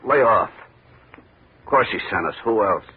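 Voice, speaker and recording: Low, surly radio-drama hoodlum played by a man. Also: lively intonation, moderate pace, close to an everyday voice. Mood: defiant, cracking. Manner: terse, gruff, defiant. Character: sullen, surly male hired hoodlum. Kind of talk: 1950 radio drama acting. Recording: noisy, tinny old radio recording.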